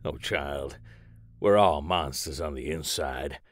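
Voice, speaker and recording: A man's voice: slow pace, no echo, clean studio sound. A man playing a menacing older asylum killer in a comic dub, intimate and creepily affectionate. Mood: creepily tender. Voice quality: very deep. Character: soft-spoken menacing older male asylum inmate killer.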